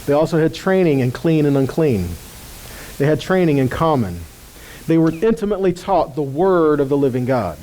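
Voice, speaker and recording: A man teaches scripture in a relaxed, conversational way. His voice sits a little high and sounds warm and mellow. He often checks in with his listeners as he explains. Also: normal speed. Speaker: ministry teacher.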